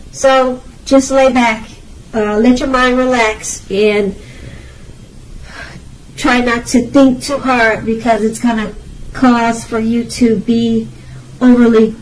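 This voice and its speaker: A woman's voice: a little high, a little hiss or hum. A woman giving an unscripted tarot reading, speaking to the viewer in a reassuring, advising way with hesitations and repeated phrases. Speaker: tarot card reader. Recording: voice distant from the mic.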